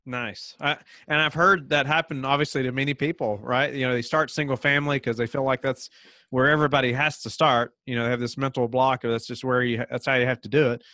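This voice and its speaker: A man's voice: mid-range voice, fairly quick, no echo, studio quality. A man in his thirties hosting an interview podcast, asking questions with lots of "you know". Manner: relaxed, many fillers like "you know". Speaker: podcast host. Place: home office.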